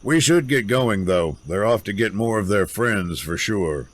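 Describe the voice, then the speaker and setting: An actor playing a gruff, self-reliant woodsman with a folksy, sardonic, grudging way of talking in a fantasy audio drama. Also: fairly low pitch, expressive, a little slow. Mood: matter-of-fact.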